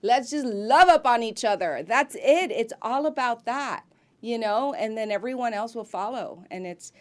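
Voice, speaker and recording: A woman's voice: fairly high pitch, animated, normal speed, slight background noise. Effusive woman chatting with many ums. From grew up in Miami, United States. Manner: warm, effusive and talkative, with frequent ums and restarts. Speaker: actress guest. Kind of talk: casual interview chat.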